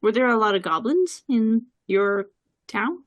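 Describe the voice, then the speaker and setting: A woman's voice: fairly high pitch, expressive, measured pace, no echo. A woman speaking as a player in a tabletop roleplaying game, curious and questioning. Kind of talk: in-character roleplay and table chat.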